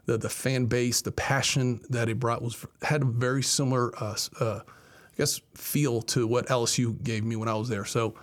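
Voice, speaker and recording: A man's voice: medium pitch, steady tone, moderate pace. A man in his forties from Miami, a college athletics director, telling his story conversationally as an interview guest. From Miami, Florida, United States. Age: 40s.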